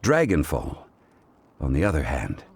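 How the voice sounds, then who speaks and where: An actor playing a learned, grave and wise gentleman in a video game, speaking in measured, formal phrases. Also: rumbling low, wildly swooping pitch, vocal fry, slightly rough, dry recording, exaggerated voice. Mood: calm, explanatory. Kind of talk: video game character acting.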